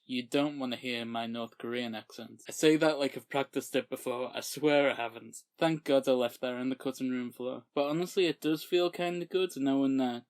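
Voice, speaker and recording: A man hosting a comic TV recap vlog, giggly and self-mocking, on a makeshift low-grade mic. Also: darkish tone, dry recording. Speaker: YouTube TV reviewer.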